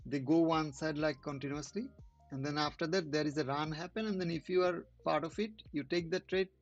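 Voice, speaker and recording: A man whose first language is not English, hosting a trading channel and commenting casually on live charts in halting speech with many restarts. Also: fairly high pitch, steady tone, dry recording, lo-fi sound, faint music behind.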